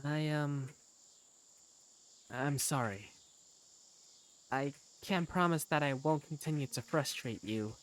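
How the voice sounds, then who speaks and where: A woman's voice: low-pitched, takes it slowly, long pauses. A woman voicing a sheepish, apologetic young student in a hesitant, awkward way for a fan dub of a visual novel game.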